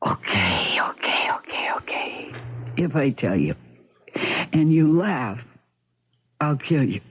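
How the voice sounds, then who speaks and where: An actress playing a distraught, suicidal wife in 1970s radio drama, halting, rambling and bitterly flippant. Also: female voice, extremely low pitch, sing-song, slightly rough, whispered, heavily acted. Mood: drowsy, stubborn. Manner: halting, rambling, self-questioning. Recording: muffled, thin 1970s radio broadcast.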